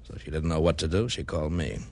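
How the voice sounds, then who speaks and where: A deep, gruff American racket boss in a vintage radio detective drama. He talks in a guarded, defensive way, like someone used to giving orders. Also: fast, darkish tone, no echo, full character voice. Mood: gruff explaining. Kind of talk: old-time American radio detective acting.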